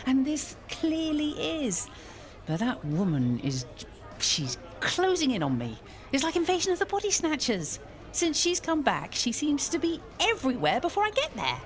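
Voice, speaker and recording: A woman's voice: high voice, huge pitch swings, moderate pace. A woman acting an exasperated, wry village vicar in a radio soap, with a dramatic, sardonic delivery. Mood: agitated. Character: exasperated, wry female village vicar venting about a rival.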